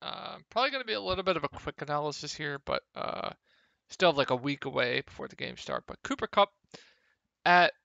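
A young man with a slightly hoarse, gravelly voice, casually talking through fantasy football picks over a screen share, with many ums. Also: on the high side, brisk, no echo, muffled recording. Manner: relaxed, lots of ums. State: a little hoarse. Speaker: fantasy sports analyst.

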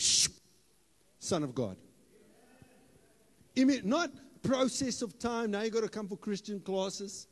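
A man delivers a sermon from a church stage, holding a handheld mic. His voice sits somewhat high and he shifts from easy, joking asides to loud, emphatic declarations. The hall adds a long reverb to every line. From South Africa. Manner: animated, playful, rises to loud emphatic bursts. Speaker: pastor.

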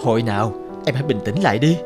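A man voicing a resentful, lonely young spirit of night in an animated fairy tale, brusque and emphatic. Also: medium pitch, fast, close to an everyday voice. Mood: concerned. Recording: prominent music under the voice.

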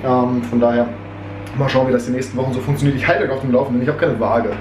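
A man's voice: medium pitch, steady tone, fast. Young male vlogger in his twenties, self-mocking and chatty, slight cold, noisy arm's-length camera sound. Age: 20s. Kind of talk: casual weekly vlog chat. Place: home kitchen and inside a car. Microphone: handheld camera at arm's length.

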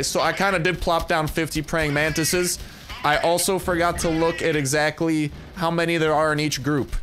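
A young man with a fairly high voice talks through a strategy game as he plays it. He is excitable and lets his voice leap up into yelps whenever the fight turns against him. Faint game music sits low behind him. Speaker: gaming YouTuber. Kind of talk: casual gameplay commentary.

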